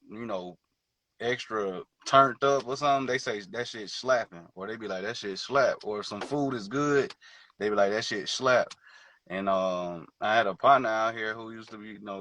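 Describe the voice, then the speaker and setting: A man's voice: fairly low pitch, normal speed, a bit bright, no room sound. Laid-back slangy man on a video call. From Detroit and Georgia, born in Hawaii. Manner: laid-back, slangy, many fillers and "you know what I'm saying". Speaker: guest musician. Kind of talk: casual interview chat.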